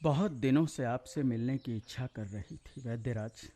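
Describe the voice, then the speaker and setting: An actor playing an authoritative but anxious royal chief minister in a Hindi historical radio drama, grave and formal. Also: male voice, medium pitch, normal speed, warm tone, lightly acted. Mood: grave, concerned.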